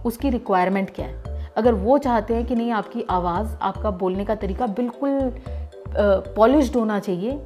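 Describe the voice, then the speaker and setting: Middle-aged radio lady from delhi, gujarati native, chatty explainer, slightly high and mellow voice. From Delhi, India. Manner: conversational and explanatory, addresses the listener directly. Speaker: radio jockey teaching voice work. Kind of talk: voice-training lesson.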